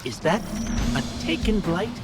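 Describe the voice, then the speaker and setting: A man's voice: high-pitched, lively intonation, a little slow, loud music behind the voice. A man playing a serious, alert soldier in a game, urgent and matter-of-fact. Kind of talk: game dialogue acting.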